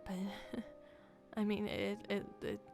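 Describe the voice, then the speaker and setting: A woman's voice: medium pitch, monotone, takes it slowly, darkish tone. A woman playing a guarded, flustered young camp leader in an improvised game roleplay, stammering with nervous little laughs. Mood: uneasy, doubtful. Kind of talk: improvised Minecraft roleplay acting. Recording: music behind the voice.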